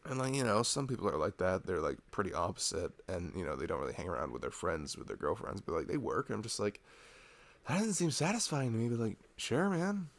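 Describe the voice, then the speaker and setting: A man's voice: fairly low pitch, animated, a little fast, gravelly. A man in an intimate ASMR boyfriend chat, rambling in a slangy, jokey way. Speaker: ASMR boyfriend roleplay performer.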